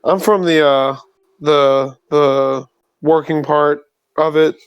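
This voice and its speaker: Male player, slightly high voice, casual, dry room. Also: little pitch movement, takes it slowly, clean tone, no room sound. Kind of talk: playing a tabletop game.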